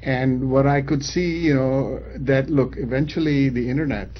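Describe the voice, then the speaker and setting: Fifties male guest, founder type, casual and halting, slightly muffled studio sound. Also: medium pitch, steady tone, a little hiss or hum. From Pakistan, raised in Saudi Arabia. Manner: hesitant, many fillers and restarts. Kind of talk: casual interview answers.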